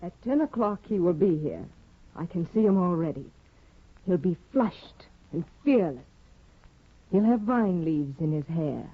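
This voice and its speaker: An actress playing a proud, scheming young wife in a 1950s radio drama, with a husky, commanding, scornful delivery. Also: female voice, lowish voice, lively intonation, a little slow. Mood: rapt. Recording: dull lo-fi 1950 radio recording.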